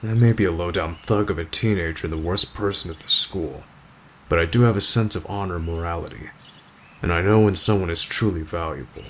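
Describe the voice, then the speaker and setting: A man playing an aloof, stoic teenage delinquent boy, curt and deadpan, in an ASMR roleplay addressed to the listener. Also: sits low, fairly quick, a little hiss or hum, close to an everyday voice. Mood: grudgingly friendly.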